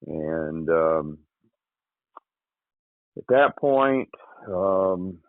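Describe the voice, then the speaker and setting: Michigan man, low voice, halting storyteller, tinny phone-call sound. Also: lively intonation, dry recording. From Michigan, USA. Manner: halting, with frequent pauses and "you know". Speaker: interview guest recounting a hunting accident. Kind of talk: casual spoken recollection. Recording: tinny phone line, dry.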